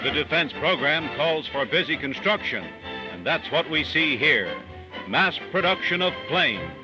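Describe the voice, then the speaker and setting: A man's voice: fairly high pitch, sing-song, measured pace. A man with an American accent reading formal scripted narration as a 1940s newsreel announcer. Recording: muffled 1940s newsreel soundtrack. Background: loud music.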